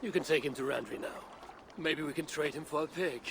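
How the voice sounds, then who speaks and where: An actor playing a stern, commanding Norse clan leader in a video game, speaking with blunt control. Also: male voice, a little high, fairly quick, creaky, background noise. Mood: calm authority.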